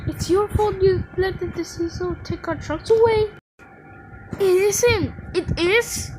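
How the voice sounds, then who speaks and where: High-pitched cheeky little boy engine. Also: slow, noisy background. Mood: indignant, excited. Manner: cheeky, excitable, playful. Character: mischievous young twin tank engines, cheeky boys. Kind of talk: animated children's series character acting.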